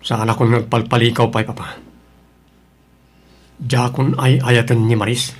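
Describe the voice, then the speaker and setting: A man's voice: mid-range voice, flat delivery, unhurried, natural voice. A man with a Filipino accent playing a troubled, stubborn husband in an Ilocano radio drama. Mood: defensive, stubborn. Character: troubled, stubborn young husband, fallen out of love.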